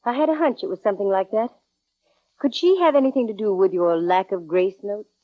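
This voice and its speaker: An actress playing a brisk, wry young American private detective in 1940s radio drama style. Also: female voice, mid-range voice, no echo, natural voice. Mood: probing, breezy. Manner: wry, breezy, hard-boiled. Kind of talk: 1940s radio acting, first-person detective narration. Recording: dull, band-limited old radio recording.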